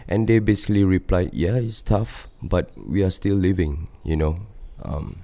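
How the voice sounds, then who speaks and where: A man's voice: low voice, moderate pace, mellow, no echo. A man in his thirties with a Singaporean accent, talking in a rambling, laid-back solo podcast monologue full of fillers and swearing. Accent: Singaporean English. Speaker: podcast host and band vocalist.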